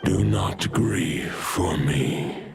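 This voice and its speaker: A man voicing an ancient dying wolf god in a video game, solemn and resigned, with a deep, gravelly, stately delivery. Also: very slow, over music, exaggerated voice. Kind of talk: video game dramatic acting.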